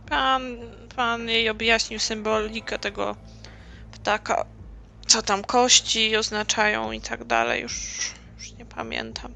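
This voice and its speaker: A woman's voice: fairly high pitch, expressive, unhurried, vocal fry, bright, forceful tone, slight background noise. A woman hosting a gameplay video, giving casual commentary and recapping the dialogue as she goes. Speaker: gaming let's play host.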